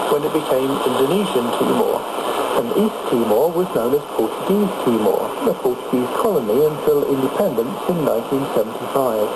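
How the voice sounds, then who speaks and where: A man reading a scripted radio documentary as presenter, heard over shortwave radio. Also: mid-range voice. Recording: very noisy shortwave radio reception.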